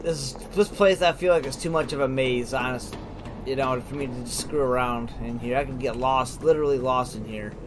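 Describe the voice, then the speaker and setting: A man's voice: mid-range voice, normal speed. A man giving casual let's play commentary as a gaming host, informally thinking aloud. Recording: clearly noisy, game ambience behind.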